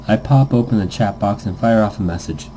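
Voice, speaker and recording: A man's voice: lowish voice, a little fast, mellow, slight background noise, low-quality recording. A man hosting a let's-play, reading a visual novel aloud and adding playful, goofy improvised asides in a casual gaming commentary style.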